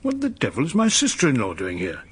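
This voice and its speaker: An actor playing a gruff, imperious upper-class British gentleman in a radio drama. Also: medium pitch, huge pitch swings, fast. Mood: indignant, intrigued.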